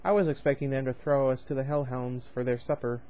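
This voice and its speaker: A man's voice: medium pitch, steady tone, fairly quick, warm tone. Rambling young man reading a novel aloud. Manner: rambling, chatty asides, loses his place. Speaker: book reader, channel host.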